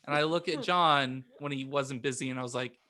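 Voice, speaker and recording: A man's voice: medium pitch, fairly even intonation, brisk, pushed and bright. A man chatting casually as a panelist on a podcast.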